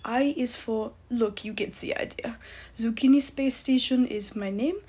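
A woman voicing a small, boastful, theatrical male fantasy creature with an exaggerated French accent, declaiming pompously and excitedly in a storybook reading. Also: a little high, unhurried, breathy edge. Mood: grandiose, boastful. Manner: shrieking, bouncing, pompous declamation.

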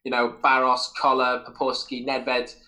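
A man's voice: medium pitch, completely flat delivery, a little slow, bright, forceful tone, poor audio. A man hosting a football podcast, talking casually and enthusiastically through detailed football memories in a rambling way. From Wales. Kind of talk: casual sports chat.

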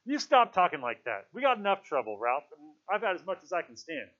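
A squeaky, anxious boy voiced by a male narrator in a storybook, high and very animated in pitch. He is sensible but indignant, protesting and pleading in rough grammar. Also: brisk, dull audio. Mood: frightened, pleading. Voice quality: trilling, squeaky when upset. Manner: ungrammatical, protesting speech.

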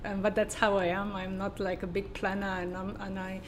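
A woman with a German accent speaks as an interview guest in a flat, even tone with a little background noise. She talks hesitantly, filling pauses and restarting her sentences. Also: medium pitch. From southern Germany. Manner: hesitant, many fillers and restarts. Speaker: guest, sustainability consultant. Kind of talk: casual interview.

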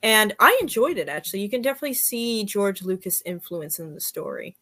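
A woman's voice: medium pitch, big pitch swings, a little fast, fairly bright tone. A woman guesting on a podcast, chatting casually with an enthusiastic, easy-laughing fan manner.

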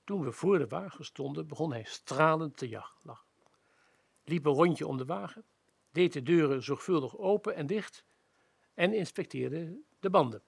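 A man's voice: on the high side, moderate pace, gravelly, slightly rough, dry recording. A man narrating a radio play in the first person, reading a scripted literary story.

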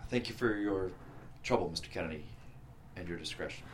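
A man's voice: low-pitched, fairly quick, close to an everyday voice. A man playing a polished, guarded attorney in his thirties with formal diction, in a screenplay table read. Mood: polite, awkward.

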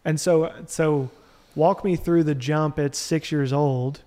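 Man, podcast host, slightly high voice, friendly, upbeat. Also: fairly even intonation, slow, mellow. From Arkansas. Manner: friendly, upbeat, self-deprecating. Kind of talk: casual interview chat.

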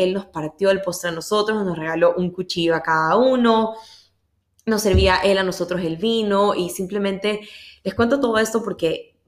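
Ecuadorian woman podcaster, echoey room. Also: medium pitch. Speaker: podcast host. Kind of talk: casual personal storytelling in a solo podcast. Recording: echoey, roomy sound.

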